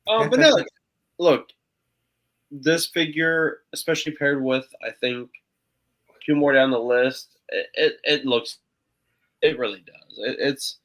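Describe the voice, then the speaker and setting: Nasal, bright-voiced man, swearing casually. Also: medium pitch, measured pace, long pauses, low-quality recording. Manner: animated, swears often, stammering restarts. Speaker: podcast co-host. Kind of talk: casual chat.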